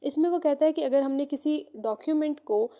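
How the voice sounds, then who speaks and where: A woman with a Pakistani accent giving an explanatory law lesson, mixing two languages and slowing down to read out points before explaining them. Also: high voice, warm tone. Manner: code-switches between Urdu and English, slows down when reading out. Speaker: law lecturer.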